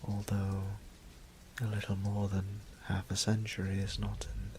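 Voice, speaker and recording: Man playing a low, breathy, gentle vampire priest. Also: monotone, unhurried, a little hiss or hum, lightly acted, poor audio. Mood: weary, reassuring. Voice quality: breathy, half-whispered. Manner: hesitant, formal old-fashioned wording, tender. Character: gentle, apologetic centuries-old vampire priest, soft-hearted man. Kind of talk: intimate audio roleplay drama.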